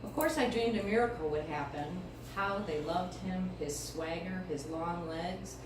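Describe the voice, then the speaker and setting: A middle-aged American woman reads poetry at a live event, with casual introductions between pieces. Her voice sits a little low and her phrasing is deliberate and expressive. She is recorded from a distance in a very echoey room with clear background noise. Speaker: featured poet reading at a poetry event. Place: indoor live event space, mic far from speaker.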